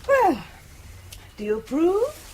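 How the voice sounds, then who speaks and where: A woman's voice: extremely high pitch, wildly swooping pitch, takes it slowly, background noise, exaggerated voice. A woman playing a teasing, spirited wife in a spy audio drama, arch and playful. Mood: delighted.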